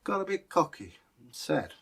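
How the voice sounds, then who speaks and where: A man's voice: on the high side, sing-song, moderate pace, gravelly, a bit bright, slightly rough. British guy telling folktales, casual chat, kinda rambly and thoughtful. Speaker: co-host and storyteller.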